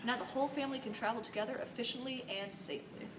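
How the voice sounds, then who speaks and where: High-voiced woman reading the news. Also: racing, noisy background. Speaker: news anchor and interviewer. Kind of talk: scripted news narration.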